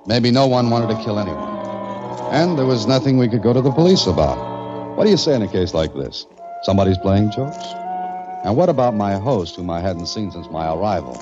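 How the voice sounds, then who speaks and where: An American man playing a calm, inquisitive adventurer, narrating a mystery in measured 1940s radio style. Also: fairly low pitch, close to an everyday voice. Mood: tense narrating. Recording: muffled old-time radio recording, music behind.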